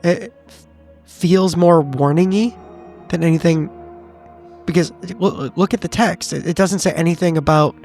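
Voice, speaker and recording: A man improvising in character as a tabletop game player, speaking in a measured, analytical way. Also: a little high, vocal fry, darkish tone. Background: background music.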